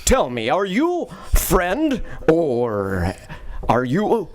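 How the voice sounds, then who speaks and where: A man's voice: fairly high pitch, huge pitch swings, very slow, full character voice. A man playing the heroic space adventurer in a live community radio play, earnest and prone to flustered stammering. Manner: hesitant, stammering, theatrical.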